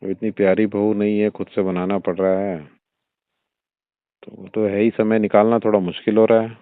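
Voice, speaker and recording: A man's voice: on the low side, level tone, moderate pace, dry recording, close to an everyday voice. A man playing an older, indulgent father-in-law who talks in a coaxing, suggestive, familiar way in a staged phone-call drama. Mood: casual, familiar. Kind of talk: staged phone-call audio drama in everyday conversational speech. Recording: muffled narrow-band phone-line sound.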